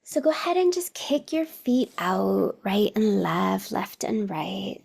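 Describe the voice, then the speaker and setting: A woman leading a guided meditation as a teacher, soothing and unhurried, with long pauses and breathy phrase endings that drop toward a whisper. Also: mid-range voice, a little mellow. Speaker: meditation teacher and podcast host.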